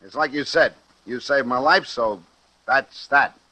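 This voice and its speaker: An American man playing a rough, uneducated hired killer with a soft streak of loyalty, talking in slangy, ungrammatical tough-guy style in 1940s radio acting. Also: medium pitch, unhurried, no room sound. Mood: reluctant, firm.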